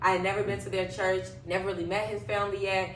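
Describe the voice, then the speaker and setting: A woman in her thirties chats with her co-host and tells everyday stories, acting out what people said. She sits a little back from the mic in a room with a clear echo, with faint noise underneath. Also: medium pitch, fast. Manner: animated storyteller who acts out quoted dialogue. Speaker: podcast co-host. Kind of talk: casual chat. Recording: echoey room, voice a bit far from the mic, faint background noise.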